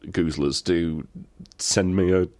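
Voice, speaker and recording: Stuffy low-voiced guy, late 30s, goofy rambling podcast chat, super dry close mic. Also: steady tone, slow, darkish tone, no echo. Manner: playful, rambling, drifts into silly bits. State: bunged up from a cold. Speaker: podcast co-host. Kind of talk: casual chat.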